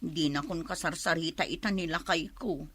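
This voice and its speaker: A woman's voice: low-pitched, normal speed, a bit bright, breathy edge, dry recording. A woman playing an emotional, suspicious wife in an audio drama, insistent and accusing. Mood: troubled, subdued. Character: suspicious jealous wife and mother, quick to confront her husband. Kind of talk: Filipino audio drama acting.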